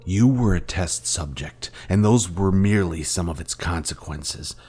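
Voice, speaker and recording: Very low male voice, man performing a grave sci-fi mentor, solemn, deliberate, rhetorical, dramatic game dialogue. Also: a little mellow, husky. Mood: grim, explaining. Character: grave former Jedi Master confronting his fallen Padawan. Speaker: game character.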